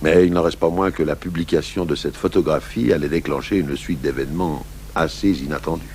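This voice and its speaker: An actor playing a gruff, weary French police commissioner narrating his own case in a 1980s radio drama. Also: a bass voice, brisk, darkish tone. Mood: measured, wry. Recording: noisy old radio broadcast recording.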